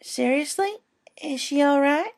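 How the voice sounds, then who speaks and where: A performer voicing an excitable, nosy little girl pony, a kid sister in a cartoon fan story. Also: high voice, a little slow, voice pushed up. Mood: curious. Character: Apple Bloom, excitable nosy little filly, younger sister.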